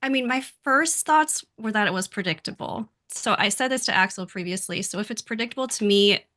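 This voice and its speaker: Female guest, bright forward voice at middle pitch, reflective casual talk. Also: fairly quick. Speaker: podcast guest. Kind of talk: casual chat.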